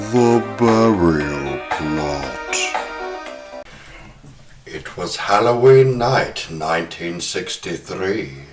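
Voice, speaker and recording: A man hosting a horror podcast, moving between talk and dramatic storytelling. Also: low-pitched. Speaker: podcast host. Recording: roomy, music behind.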